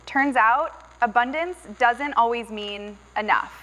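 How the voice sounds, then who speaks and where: An actress playing a skeptical, teasing co-host in a wasteland radio drama. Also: female voice, a little high, measured pace, bright, forceful tone, slight background noise, low-quality recording. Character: skeptical young woman co-host.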